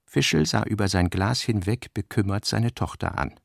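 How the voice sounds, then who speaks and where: A man with a gravelly voice reading scripted literary narration for a radio drama. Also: on the low side, moderate pace, dry recording, studio quality.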